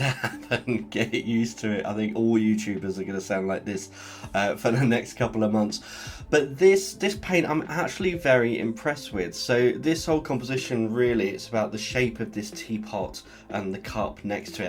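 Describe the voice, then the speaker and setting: A man's voice: on the low side, normal speed. A man with a stuffy cold, chatting casually as he talks through a painting. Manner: relaxed, chatty, explains as he paints. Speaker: art channel host. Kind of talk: casual art tutorial narration.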